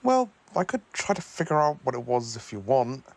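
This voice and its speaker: A man's voice: medium pitch, big pitch swings, moderate pace, creaky, slight background noise. A man playing a kindly, awkward alien professor. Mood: gentle. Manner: gentle, hesitant, caring. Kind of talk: audio drama acting.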